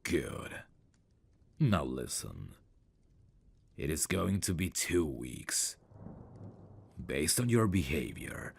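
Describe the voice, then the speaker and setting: A man playing a stern, haughty eldest prince in a fantasy roleplay audio, speaking formally and condescendingly in a gravelly, breathy voice. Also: rumbling low, exaggerated voice. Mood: stern, commanding. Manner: formal, measured, condescending, commanding.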